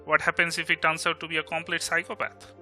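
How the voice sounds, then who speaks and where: Male actor as young grumbler, Bengali Indian accent, very bright forceful voice, sarcastic teasing, audio drama, music underneath. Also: on the high side, completely flat delivery, quick pace. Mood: exasperated, sarcastic. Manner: sarcastic, exasperated. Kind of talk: amateur audio drama acting. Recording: music behind the voice.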